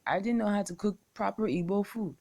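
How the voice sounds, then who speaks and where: A young American woman reciting a poem and making a slow, deliberate appeal as a contest entrant. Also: medium pitch, warm tone. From United States. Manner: slow, deliberate, measured phrasing. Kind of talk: recorded poetry recital and appeal.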